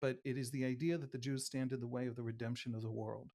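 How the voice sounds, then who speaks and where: Man, wisconsin accent, mid-pitched voice, radio guest, fluent impassioned analysis, builds points in lists. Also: flat delivery, very fast, dark tone.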